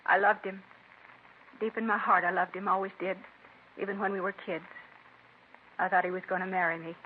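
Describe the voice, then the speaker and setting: A woman's voice: mid-range voice, little pitch movement, a little fast, close to an everyday voice. Actress as a bitter, lovelorn woman, tinny radio. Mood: anguished, bitter. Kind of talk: 1950s radio crime drama acting. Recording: tinny, hissy vintage radio recording.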